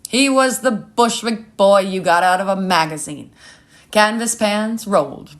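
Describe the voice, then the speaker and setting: A woman's voice: medium pitch, a little slow. A woman reading a scripted short story as its narrator.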